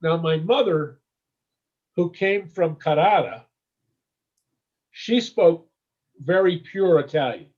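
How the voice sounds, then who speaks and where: A man from the United States in a casual interview, rambling warmly and tagging his sentences with "okay?" and "you know?". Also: a little high, expressive, slow, pauses often, muffled recording.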